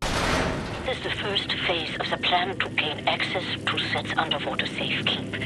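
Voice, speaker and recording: An actor playing a solemn resistance ally, delivering a deliberate, dramatic mission briefing in a video game cutscene. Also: male voice, fairly high pitch, heavy background noise, lightly acted.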